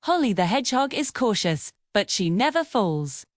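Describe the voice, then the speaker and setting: A woman voicing a cheerful, wise female parrot who gives gentle, patient guidance in a children's storybook. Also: medium pitch, sing-song, moderate pace, no room sound, studio quality, lightly acted. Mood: gently wise.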